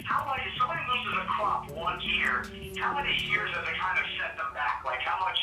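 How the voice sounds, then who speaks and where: A man's voice: sits high, sing-song, fairly quick. A man interviewing conversationally, reworking his questions as he thinks aloud. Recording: roomy, distant mic, faint music behind.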